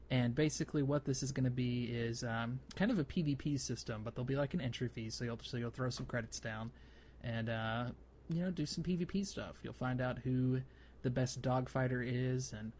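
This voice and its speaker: A man's voice: mid-range voice, moderate pace, a little mellow. Man podcasting over noticeable noise. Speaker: podcast host. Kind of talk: casual gaming podcast talk.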